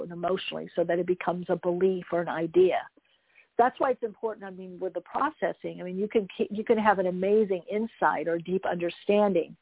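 A woman's voice: lowish voice, dry recording. A woman hosting a spiritual talk show, chatting in a casual, rambling way and addressing her listeners informally. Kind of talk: casual live talk, interviewing. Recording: thin phone-like audio.

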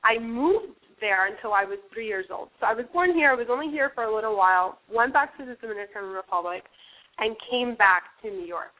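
A woman's voice: fairly high pitch, lively intonation, brisk. A young woman from New Jersey, a guest in a casual interview, rambling with many restarts and fillers. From New Jersey, USA. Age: young adult. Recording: tinny phone-like radio line.

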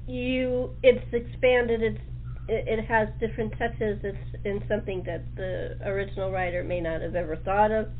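A woman hosting a casual interview, speaking informally and appreciatively, with halting phrasing. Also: mid-range voice, steady tone. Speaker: talk show host. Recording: muffled, noisy, band-limited line.

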